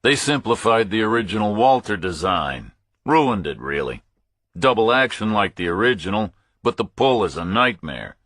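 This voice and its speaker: Guy doing cool calculating action hero, laconic, deep bright voice, audiobook. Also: big pitch swings, moderate pace, husky, no echo, studio quality, exaggerated voice. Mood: cool, matter-of-fact.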